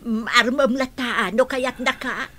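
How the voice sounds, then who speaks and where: A woman in a radio drama playing a kindly elderly grandmother who speaks slowly and gently. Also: on the high side. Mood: gentle.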